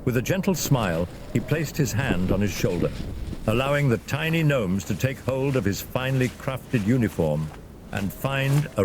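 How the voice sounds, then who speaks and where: A man narrating a scripted fantasy story as a dramatic, expressive storyteller. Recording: loud ambient rumble behind narration.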